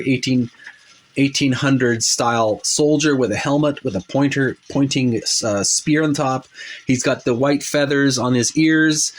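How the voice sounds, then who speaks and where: A man hosting a hobby show, describing illustrations in a casual, unscripted way, halting and rambling as he searches for words. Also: mid-range voice, a little hiss or hum. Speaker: host of a retro video game art book show.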